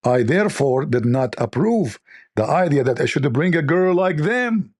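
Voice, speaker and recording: A man speaking in non-native English, giving a mocking critical commentary full of rhetorical questions. His voice is strained and gravelly because he is losing it. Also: on the high side, sing-song, normal speed, darkish tone.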